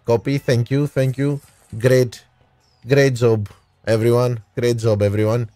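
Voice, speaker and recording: Slow, unhurried male game streamer. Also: mid-range voice, level tone, mellow, no room sound, faint music behind. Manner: unhurried, talks to chat by name, frequent "uh" pauses. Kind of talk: live gameplay commentary and chat replies. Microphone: headset mic.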